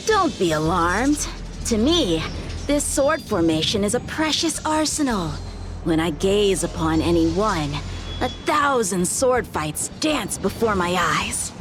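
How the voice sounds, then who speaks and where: A woman playing a proud, overconfident teenage boy swordsman in a video game, speaking in a measured, self-assured way. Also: medium pitch. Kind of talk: video game cutscene acting.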